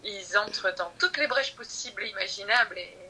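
A woman's voice: medium pitch, a little fast, sharp tone. A woman speaking as a podcast guest about her teacher training, thoughtful and hesitant, often restarting her sentences. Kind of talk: conversational interview.

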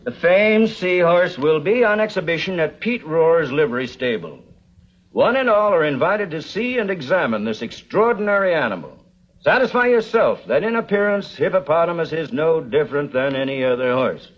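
An actor playing an older American frontier newspaperman in a TV western, folksy, dry and deliberate. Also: on the high side, lively intonation. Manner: folksy, reads copy aloud deliberately. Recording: dull old television soundtrack.

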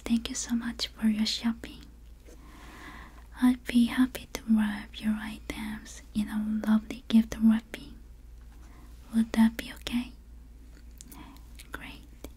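A woman whispering softly and breathily in an ASMR roleplay as a polite, gentle shop clerk. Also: on the high side, completely flat delivery, takes it slowly, long pauses, breathy edge. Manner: polite, gentle customer-service phrasing.

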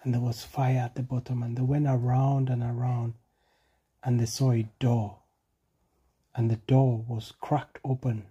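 Very dark, husky storytelling father. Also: mid-range voice, flat delivery, measured pace, muffled recording. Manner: simple repetitive phrasing, prompts the child with questions. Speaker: father telling a bedtime story. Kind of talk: improvised children's storytelling.

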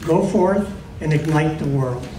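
A man's voice: on the high side, unhurried, over a noisy crowd, very noisy. A man, a dean, giving an earnest rallying address to graduates at a podium. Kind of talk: ceremony address. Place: auditorium at a podium. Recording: echoey hall, distant mic.

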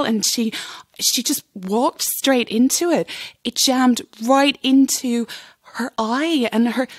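A woman's voice: fairly high pitch, slightly rough, no room sound. A woman playing a timid, eager, slightly unsettling young chorus dancer in an audio drama, hesitant and apologetic. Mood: hushed, eerie.

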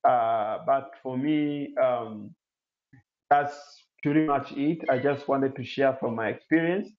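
A man from Tanzania whose first language is Swahili, giving an online presentation and answering questions, halting with many fillers and restarts. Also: mid-range voice. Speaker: webinar presenter, incubator CEO. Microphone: laptop mic on a video call. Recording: roomy, dull webinar audio.

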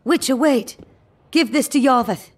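An actress playing an earnest, secretive rebel helper in a fantasy video game, speaking plainly. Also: female voice, high-pitched, measured pace. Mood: earnest, uneasy.

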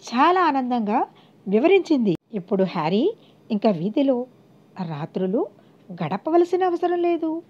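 High, lively woman storyteller, dull recording. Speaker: audiobook storyteller. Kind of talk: scripted moral story narration.

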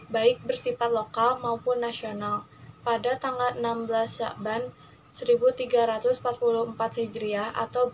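A young woman reading a prepared history text aloud for a class, in a steady and measured way. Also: on the high side, slight background noise, low-quality recording.

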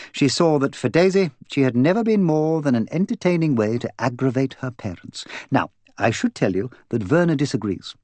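A man's voice: medium pitch, darkish tone, dry recording. A man voicing an imperious, gossipy, opinionated English woman in an audiobook, bossy, emphatic and voluble. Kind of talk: audiobook character dialogue.

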